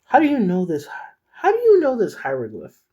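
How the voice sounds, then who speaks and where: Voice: medium pitch, wildly swooping pitch, fairly quick, warm tone, slightly rough, pitched above the performer's own voice. A story reader voicing a caring but stern woman friend, concerned and firm. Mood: stern, suspicious.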